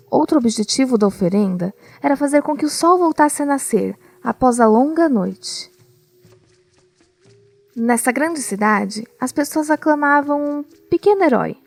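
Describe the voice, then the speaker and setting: A woman narrating a scripted audio drama as a storyteller, with measured, deliberate phrasing. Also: a little high, vocal fry, a little mellow, no echo. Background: music.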